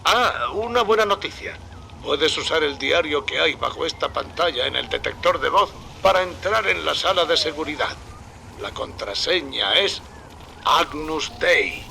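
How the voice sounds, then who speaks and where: A weary, dying scientist leaves a quiet, resigned message in a game. His slightly high voice has a gravelly edge. Noise runs under the game audio. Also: animated, unhurried, heavily acted. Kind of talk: game voice acting.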